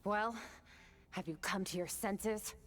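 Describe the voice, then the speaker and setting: A woman's voice: medium pitch, slow, natural voice. An actress playing a cool, aloof and powerful young swordswoman in video game dialogue, composed, terse and detached. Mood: cool, probing.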